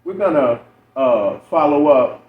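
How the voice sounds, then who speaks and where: A man's voice: a little high, expressive, slow. American guy hosting a podcast, slangy casual questions, muffled sound, sitting back from the mic. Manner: slangy, familiar. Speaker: podcast host, film director. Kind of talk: casual interview chat. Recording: dull, echoey, distant mic, faint noise.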